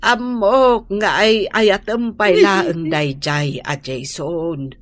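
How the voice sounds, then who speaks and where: A woman's voice: fairly low pitch, steady tone, drawn-out words. A woman with an Ilocano accent playing a worried, exasperated middle-aged mother who pleads and admonishes in a radio drama. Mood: exasperated, hurt. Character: worried, exasperated mother scolding her heartbroken grown daughter.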